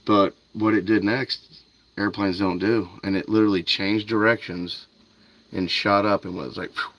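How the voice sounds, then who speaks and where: A man's voice: lowish voice, fairly even intonation, normal speed, muffled recording. A man hosting a fishing livestream at night. He chats loosely with his viewers, says "dude" and "man" a lot, and greets them by name. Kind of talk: casual livestream chat with viewers. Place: outdoors by a canal at night.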